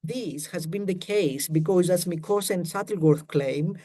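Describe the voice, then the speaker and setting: Brief: Greek-accented male academic, mellow voice, measured pace, reading a prepared talk over a video call. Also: sits high. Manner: careful, measured reading of prepared text with quotes. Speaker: academic panellist. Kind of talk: academic webinar presentation.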